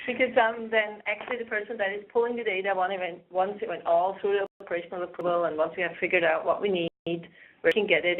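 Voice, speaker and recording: A woman's voice: mid-range voice, phone-quality sound. A woman speaking informally as she introduces her work in a webinar, over a thin conference line. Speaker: IT data analyst.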